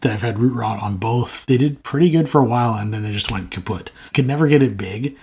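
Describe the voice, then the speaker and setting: A man's voice: medium pitch, brisk, creaky, darkish tone, a little hiss or hum. A man with a sore throat, chatting casually and frankly to camera as the host of a plant channel. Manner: rambling, frank, self-mocking.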